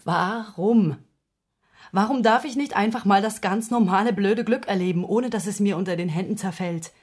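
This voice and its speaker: An actress in a radio play playing a distressed woman who confides intimate matters in a halting, emotionally wounded way. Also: medium pitch, a little mellow, husky, lightly acted. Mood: wounded, bitter. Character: distressed woman confessing her secret love affair to investigator.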